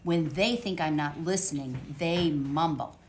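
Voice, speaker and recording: A woman reading a picture book aloud to children at storytime, expressive, with dramatic pauses. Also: deep voice, a little hiss or hum.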